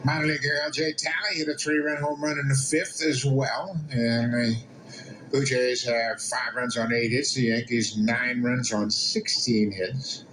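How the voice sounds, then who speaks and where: Mid-pitched male baseball commentator, noisy ambience. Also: little pitch movement, unhurried. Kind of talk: sports commentary.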